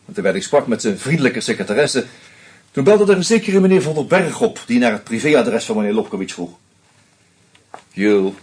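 An actor playing a shrewd screenwriter who methodically unmasks a killer, with a husky voice and dry irony. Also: male voice, fairly low pitch, a little mellow. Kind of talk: radio play acting.

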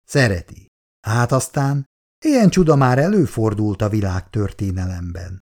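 Man reading a gruff old husband, curt and mumbling, mellow dark tone. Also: close to an everyday voice. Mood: grumbling. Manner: curt, grumbling, low-key.

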